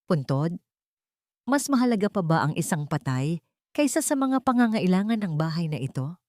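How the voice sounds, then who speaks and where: A woman's voice: fairly low pitch, very animated, moderate pace, a little mellow, no echo. Woman narrator playing older Filipino-drama mother-in-law, domineering, belittling, cruel, haughty scolding, close dry sound. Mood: scornful.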